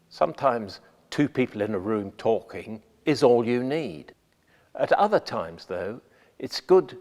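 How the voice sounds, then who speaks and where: A man delivers scripted instruction at a slow, deliberate pace. His pitch moves expressively to stress key phrases, and the sound carries a long reverb, as in a hall. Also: a little mellow. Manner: measured, deliberate, emphatic phrasing. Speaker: radio playwright giving writing advice.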